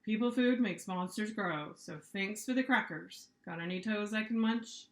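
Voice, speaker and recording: A woman's voice: medium pitch, moderate pace, echoey room, natural voice. Woman doing a growly, hungry male monster. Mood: sly, friendly. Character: hungry green male monster, big, growly, friendly menace.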